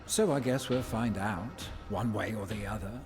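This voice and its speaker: An actor playing a shrewd male schemer in a video game cutscene, talking in a measured, wry, insinuating way. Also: on the low side, music in the background, close to an everyday voice. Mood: calm, calculating. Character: smooth, shrewd male schemer offering bounty deals.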